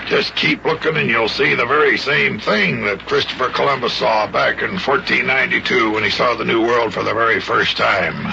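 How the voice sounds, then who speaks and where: An actor playing a jolly, patient old sea captain in a children's radio play, with folksy nautical talk and repeated phrases. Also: male voice, mid-range voice, moderate pace, gravelly, few pauses. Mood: jolly, assured. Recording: muffled, noisy old radio recording.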